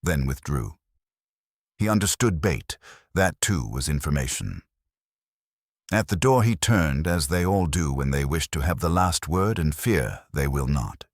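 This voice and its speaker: Very low-voiced man for detective audiobook narration; measured and formal, with lively swings in pitch. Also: breathy edge, dry recording, clean studio sound. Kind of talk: scripted detective story narration.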